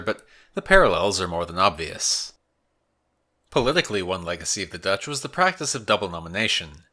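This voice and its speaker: Deep-voiced man narrating history. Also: pushed and bright. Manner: measured, explanatory. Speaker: history podcast host.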